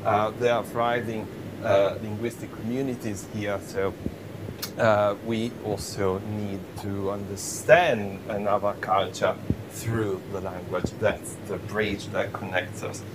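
A man's voice: flat delivery, slow. A man, an Italian lecturer, giving an interactive welcome talk, questioning the audience and hesitating often. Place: university lecture room. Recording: noisy lecture room with rumble.

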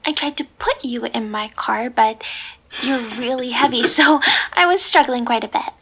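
A woman playing a giggly, obsessive yandere girl in an ASMR roleplay, sweetly menacing and slipping into breathy whispers. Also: a little high, moderate pace, fairly bright tone, a little hiss or hum, low-quality recording. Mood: giggly, pleased. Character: giggly, obsessive yandere girl holding her crush captive.

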